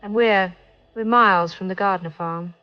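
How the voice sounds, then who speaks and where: A woman playing an uneasy, apologetic, halting caller to a radio show in a drama. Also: medium pitch, muffled recording, faint music behind, natural voice.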